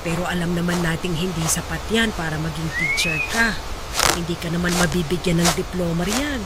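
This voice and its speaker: A woman playing a worried, loving mother of fifty in a Tagalog radio drama, fretful and gently scolding. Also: lowish voice, brisk, very noisy, lightly acted. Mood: sad, apologetic.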